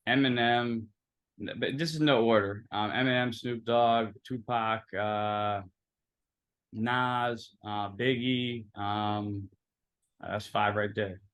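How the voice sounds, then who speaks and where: A man's voice: fairly low pitch, a bit bright, smooth. Rambling man from Philadelphia. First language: Italian. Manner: rambling, filler-heavy, storytelling. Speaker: interview guest. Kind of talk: casual interview conversation.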